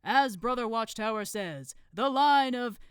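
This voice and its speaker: A woman voicing a pompous, scheming male grand master of a secret order in archaic, drawn-out phrases, in a storybook reading. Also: on the high side, lively intonation, clear, resonant tone, no room sound, studio quality, voice pushed up. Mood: strained patience.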